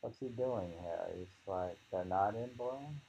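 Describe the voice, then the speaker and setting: A man reading poems aloud in a slow, halting, musing way, repeating lines and adding informal, rambling commentary, on a dull lo-fi recording. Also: sits low, warm tone, slight background noise. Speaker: reader and commentator of poetry.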